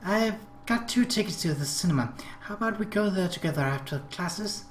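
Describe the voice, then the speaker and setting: A man voicing a cute, gently coaxing teenage schoolgirl from a visual novel. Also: high voice, lively intonation, fairly quick, pitched above the performer's own voice. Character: cute, soft-mannered teenage schoolgirl love interest. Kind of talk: visual novel read-aloud character voice. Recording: roomy echo, faint background noise.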